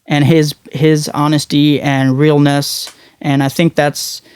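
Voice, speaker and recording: A young man from Norway, a musician guest, talking thoughtfully in a casual interview, often pausing and restarting his words. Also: fairly high pitch, little pitch movement, darkish tone, smooth, no room sound.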